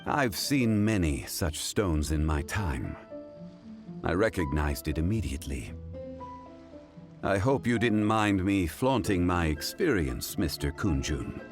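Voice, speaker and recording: An actor playing a calm, erudite gentleman connoisseur in a video game, speaking in a measured, refined, formal way. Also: sits low, music in the background, heavily acted. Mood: calm, assured.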